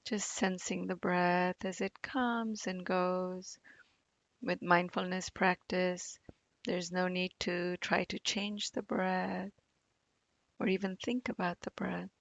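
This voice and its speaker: A woman guides a meditation very slowly, in a fairly low voice. Her delivery is gentle and soothing, with long pauses and a gravelly fry at the edges. The sound is close and dry. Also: level tone, no echo, dull audio. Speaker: meditation teacher. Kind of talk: guided body scan meditation.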